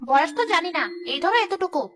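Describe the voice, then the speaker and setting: A woman plays a devoted, protective she-ghost mother in a cartoon. The voice is extremely high and animated. She speaks fast and expressively. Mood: sly, brisk. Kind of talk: cartoon character acting.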